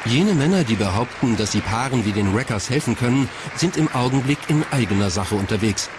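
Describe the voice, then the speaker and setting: A man narrates a documentary from a script. His voice sits at a medium pitch. There is some light ambient noise behind him. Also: lively intonation, brisk, crowd noise.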